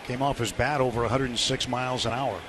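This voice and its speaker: A man with a mid-pitched voice offers conversational baseball analysis on live radio. Clear, loud stadium noise sits behind his voice. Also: steady tone, fast talker. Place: ballpark broadcast booth.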